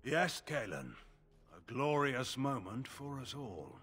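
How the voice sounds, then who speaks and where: Stern middle-aged fantasy general, raspy husky voice, swings up and down, formal and cutting, game cutscene. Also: male voice, medium pitch, very animated, slow pace, fairly bright tone, exaggerated voice. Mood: ominous. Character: stern, scornful veteran general, proud and distrustful. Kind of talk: dramatic fantasy video game acting.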